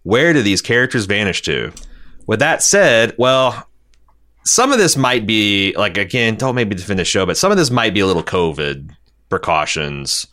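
Bright, deep-voiced man talking animatedly. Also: sing-song, moderate pace. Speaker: podcast host. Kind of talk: casual fan commentary on TV, reading listener feedback.

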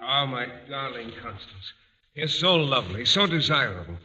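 An actor playing an ardent dream lover, wooing in florid, over-earnest style in an old radio sitcom. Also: male voice, mid-range voice, animated, moderate pace, sharp tone, muffled recording, faint music behind. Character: ardent, gushing dream lover, romantic gentleman. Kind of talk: 1950s radio sitcom acting. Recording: slightly noisy old radio recording.

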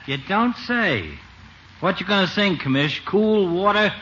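An actor playing a folksy, cocky small-town barber with slangy, breezy talk, in 1950s radio comedy style. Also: male voice, sits high, expressive, measured pace. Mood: joshing. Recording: dull, noisy old radio recording.

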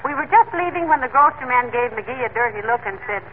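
A woman's voice: a little high, animated, quick pace, lightly acted. A woman playing a chatty, good-natured middle-aged housewife in a 1940s radio comedy. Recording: old radio broadcast, narrow band, faint noise.

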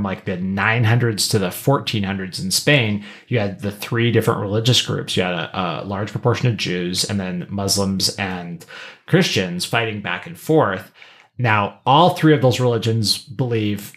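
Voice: sits low, measured pace, fairly bright tone. A podcast co-host in a casual pop-culture discussion, explaining things and slipping into joking asides.

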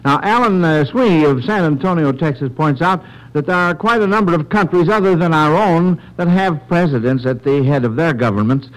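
Male quiz host, old-time radio style. Higher-set voice, halting, agreeable, encouraging delivery. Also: poor audio.

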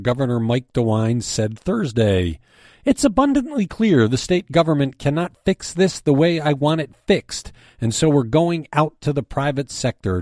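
A man's voice: mid-range voice, a little mellow, no echo, studio quality. A man with an American accent reading a scripted news briefing as its host and editor.

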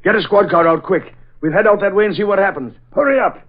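High-voiced blustering police sergeant. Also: male voice, quick pace. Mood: urgent, commanding. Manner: gruff, sardonic, blustering. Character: gruff, blustering Irish police sergeant, mocking and bossy. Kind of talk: 1940s radio acting. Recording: thin, phone-like vintage radio recording.

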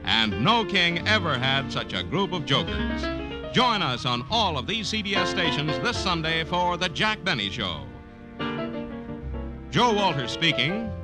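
An American man works as a 1950s network radio announcer. His fairly high voice moves in lively, showy arcs through scripted announcements. Music is clearly heard behind him. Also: measured pace.

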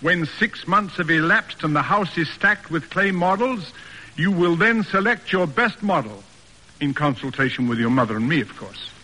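A stern, demanding American father of middle age for an old radio play. His voice is bright, forceful and a little high, with pompous, declamatory emphasis. It sounds like a vintage radio broadcast. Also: expressive, moderate pace, breathy edge, big-room sound, exaggerated voice, low-quality recording. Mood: commanding, emphatic. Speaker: actor narrating and playing the father. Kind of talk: classic American radio drama acting.

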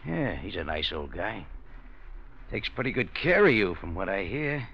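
Gravelly, menacing racketeer. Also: male voice, low-pitched, big pitch swings, moderate pace, exaggerated voice. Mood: sly menace. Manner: insinuating, slangy tough talk. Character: smooth menacing male racketeer making veiled threats. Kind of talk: 1940s radio crime drama acting. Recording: muffled old radio recording, faint noise.

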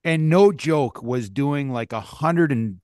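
Animated man telling road stories, dry sound. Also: medium pitch, smooth, dry recording. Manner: animated storyteller, halting restarts, laughs. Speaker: podcast co-host, former hockey player. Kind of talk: casual storytelling.

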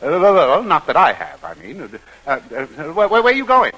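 Male executive, old-time radio comedy: glum, easily flustered, tense bright tone, aggrieved delivery, vintage broadcast sound. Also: fairly high pitch, huge pitch swings, normal speed, breathy edge, full character voice, rough recording. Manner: dithering, aggrieved, repeats his words. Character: gloomy, fretful businessman, exasperated and easily flustered. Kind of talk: 1940s-50s radio comedy acting.

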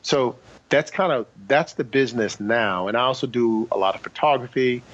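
A man's voice: mid-range voice, moderate pace, fairly bright tone. A man speaking casually as a guest in an interview, often restarting and repeating his phrases as he goes. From South Korea, later adopted. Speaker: podcast guest, author and entrepreneur. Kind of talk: casual conversational interview talk. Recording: dull, lo-fi audio.

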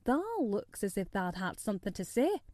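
A woman's voice: mid-range voice, big pitch swings, normal speed, darkish tone, no echo, lightly acted. A woman voicing an anxious young maidservant with a broad Yorkshire accent, exclaiming in dialect. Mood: curious. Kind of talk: audiobook character voice.

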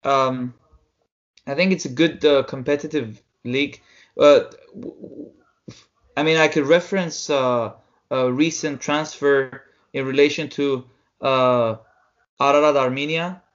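A young man co-hosting a sports podcast, explaining results in a halting way with many fillers. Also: mid-range voice, fairly even intonation. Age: young adult. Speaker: podcast co-host. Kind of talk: casual sports chat. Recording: dull lo-fi audio.

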